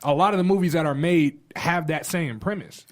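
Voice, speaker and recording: A man's voice: fairly high pitch, fairly quick. An American man on a podcast, talking informally and arguing his opinions in casual conversation. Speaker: podcast panelist.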